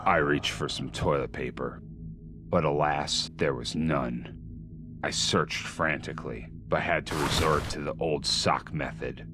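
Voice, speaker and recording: An actor playing a grim, vengeful vigilante who narrates a video game in a hard-boiled, deadpan, menacing style. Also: male voice, extremely low pitch, a little slow. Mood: deadpan, solemn. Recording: music under the voice.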